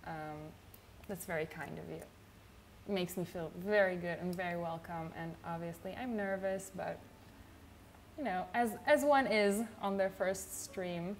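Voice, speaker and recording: A young woman from Israel with an Israeli accent, chatting casually on a livestream in a chill, low-energy way with lots of fillers. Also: measured pace, slight background noise. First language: not English. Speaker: streamer.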